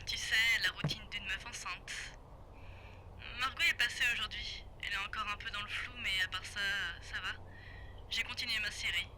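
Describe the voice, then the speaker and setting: Female actor as pregnant young wife, very bright sharp voice, sardonic yet affectionate, casual, over a radio line. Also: medium pitch, steady tone, normal speed, a little hiss or hum, low-quality recording. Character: pregnant young wife, teasing, tender and sarcastic. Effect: private radio line. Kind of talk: naturalistic audio drama dialogue.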